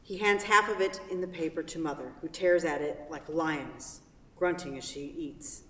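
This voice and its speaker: A woman's voice: deep voice, moderate pace, a bit bright. A woman narrating and hosting a staged reading of a play. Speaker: stage-directions narrator and event host. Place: theatre hall. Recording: very echoey hall, distant mic.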